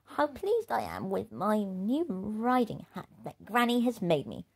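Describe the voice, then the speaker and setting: A woman's voice: medium pitch, huge pitch swings, a little slow, creaky, a little mellow, breathy edge, pitched above the performer's own voice, full character voice. A woman voicing a polite, timid young male wolf cub in a storybook read-aloud, sweet, eager and prim. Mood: earnest, polite.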